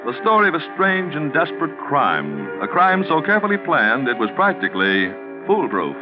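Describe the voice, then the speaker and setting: A man announcing in 1940s radio style, delivering a sponsor pitch with formal, polished salesmanship. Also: wildly swooping pitch, moderate pace. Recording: muffled 1940s radio recording, faint music.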